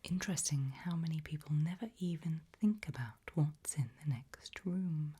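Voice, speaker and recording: A woman whispering in a breathy, hushed and deliberate ASMR style, putting questions to the listener. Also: low voice. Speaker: ASMR host.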